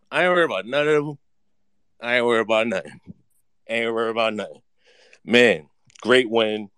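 A man chatting excitedly about his team in a slangy, repetitive way. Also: fairly low pitch, smooth, no echo. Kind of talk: casual fan chat.

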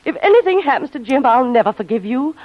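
An actress playing a spirited, anxious and devoted young heroine in an old-time radio drama, speaking with earnest, dramatic delivery. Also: female voice, sits high, very animated, fast. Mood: angry. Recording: muffled, noisy vintage radio recording.

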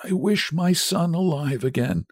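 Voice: medium pitch, a little slow, a little mellow, husky, dry recording, studio quality, pitched above the performer's own voice. A narrator voicing a fearful old husband, faltering and unsteady, in a dramatic story reading. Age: older. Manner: quavering, stammering, unsteady.

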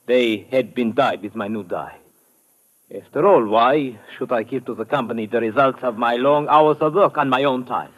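An actor playing a defensive, evasive laboratory worker in a radio drama, indignant. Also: male voice, medium pitch, moderate pace, natural voice, low-quality recording. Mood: grudging, defensive. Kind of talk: old-time radio drama acting. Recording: vintage radio broadcast recording.

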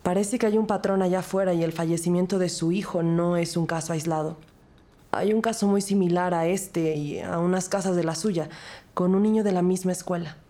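Low-voiced composed female detective, acted by a woman. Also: fairly even intonation, mellow, lightly acted. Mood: sympathetic, formal. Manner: measured, formal. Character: composed, sympathetic female police detective who narrates. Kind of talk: scripted first-person narration and audio drama acting. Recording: music bed behind the voice.

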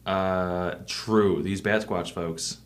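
A man's voice: low voice, fairly even intonation, extremely slow. A man co-hosting a podcast in casual chat, upbeat and joking, hyping up his co-host.

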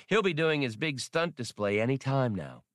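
A man's voice: mid-range voice, very animated, normal speed, dry recording, clean studio sound, pitched above the performer's own voice, natural voice. A man voicing a cocky male space smuggler pilot who talks brashly and haggles. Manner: brash, bargaining.

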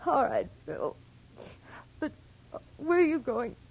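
Anxious, gentle American young woman, soft dark husky tone, 1940s radio drama. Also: sits high, sing-song, slow, full character voice, low-quality recording. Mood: anxious, subdued.

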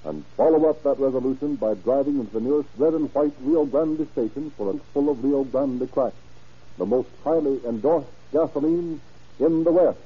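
A man's voice: mid-range voice, normal speed, slightly rough. A man announcing and narrating in a formal, declamatory 1930s radio style. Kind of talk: 1930s radio announcing, scripted sponsor pitch. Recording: dull, muffled old radio recording.

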